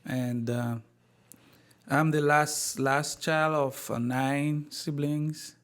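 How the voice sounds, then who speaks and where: Slow, measured man. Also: fairly high pitch, steady tone, a bit bright. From Haiti. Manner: slow, measured, with many pauses and repeated words. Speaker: university president. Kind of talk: interview, telling his life story.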